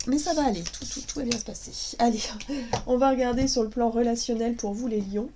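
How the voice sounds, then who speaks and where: A woman reads tarot cards for her viewers in a casual, meandering way, full of fillers and restarts. Her voice sits a little high, and she is still getting over a cold. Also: background noise. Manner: hesitant, many fillers and restarts. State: has a cold, sniffly. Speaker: tarot card reader.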